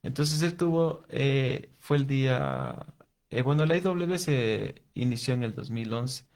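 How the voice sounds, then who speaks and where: A man's voice: medium pitch, sing-song, unhurried, vocal fry, a little mellow. A man with an Ecuadorian accent answering interview questions conversationally, explaining things and often restarting his sentences. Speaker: interview guest, watercolor artist and youth coordinator.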